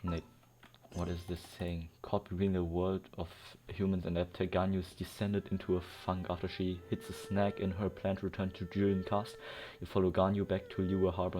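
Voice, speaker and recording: A young man giving loose, giggly commentary while he plays. His voice sits very low, and he rambles and jokes sarcastically. Faint game music runs underneath. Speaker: gamer playing on stream. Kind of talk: casual let's-play commentary.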